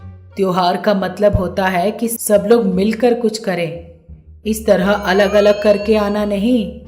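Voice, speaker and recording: Story role: shrewd village mother-in-law acted by a woman, mid-pitched, emphatic and scolding, roomy echo, faint music behind. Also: fairly even intonation, slow pace, darkish tone, lightly acted. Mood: admonishing.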